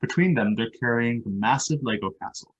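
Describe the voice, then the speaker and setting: A man's voice: mid-range voice, expressive, a little fast, highs cut off. A man narrating stage directions as scripted reading for a play.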